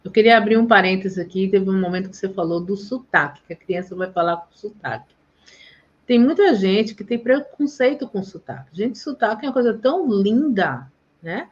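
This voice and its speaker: A woman's voice: medium pitch, animated, moderate pace. A woman from Bahia in Brazil with a Bahian accent, talking in a chatty and emphatic way as host of a live stream. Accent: Bahian Portuguese. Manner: chatty, emphatic, says "gente" often. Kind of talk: casual live chat. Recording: dull lo-fi stream audio.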